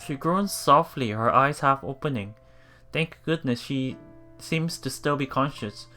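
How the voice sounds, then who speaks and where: A man with a mid-pitched voice reads visual novel text aloud as a let's play narrator. His delivery is earnest and dramatic, with soft music playing behind him. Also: animated, a little slow.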